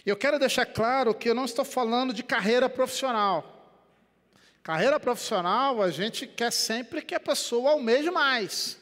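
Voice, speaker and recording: An older man from Rio de Janeiro preaching a sermon in Brazilian Portuguese on a headset mic in a church, animated, dropping to quiet and rising again. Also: very high-pitched, normal speed. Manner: animated preaching with wide gestures, swings between quiet and loud. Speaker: pastor. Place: church sanctuary. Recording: long church hall reverb.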